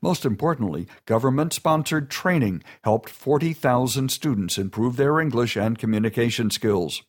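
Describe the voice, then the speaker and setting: A man giving a steady, informative scripted narration. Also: medium pitch, normal speed, few pauses.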